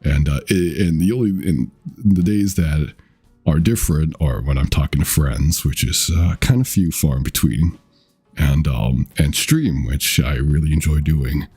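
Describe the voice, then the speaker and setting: A young man with an extremely low, deep voice that sounds dark and mellow, with a husky edge. He chats casually with his viewers, rambling and restarting his sentences, dropping fillers and swear words. Faint music plays softly behind him. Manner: rambling, halting, with many fillers and self-corrections; swears casually and laughs often. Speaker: VTuber streamer. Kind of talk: casual chat with stream viewers.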